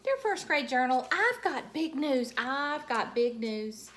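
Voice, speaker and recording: A woman voicing an excitable, blunt, chatty first-grade girl in an animated storybook reading, with noise behind her. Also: high voice, takes it slowly, voice pushed up, full character voice. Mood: excited. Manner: emphatic, breathless enthusiasm, childlike phrasing. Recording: noticeable background noise.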